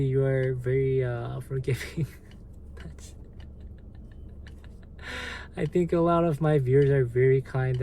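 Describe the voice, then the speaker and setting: A man with a Korean accent, a native Korean speaker, hosting a livestream and answering viewer comments in a halting, hesitant way with lots of fillers. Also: mid-range voice, vocal fry, mellow, a little hiss or hum. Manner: slow, halting, many fillers and restarts. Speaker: livestream host.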